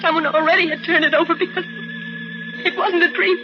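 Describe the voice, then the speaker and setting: An actress playing a shaky, frightened young woman in a 1940s radio drama, with halting, stammering delivery. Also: very high-pitched, steady tone. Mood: anxious. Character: frightened, shaky young woman insisting she saw a corpse. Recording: muffled old radio broadcast with music.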